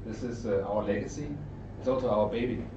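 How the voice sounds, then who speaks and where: A middle-aged man from Denmark with a Danish accent, giving an informal conference presentation in short, halting, explanatory phrases. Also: fairly low pitch, fairly even intonation. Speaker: trainer and consultant giving a presentation. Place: indoor event room with an audience. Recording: roomy, distant mic, narrow muffled sound, noisy.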